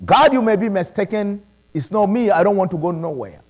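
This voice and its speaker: A middle-aged man who learned English later, preaching a sermon with animated calls of praise. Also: sits high, a little fast. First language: not English. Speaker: pastor.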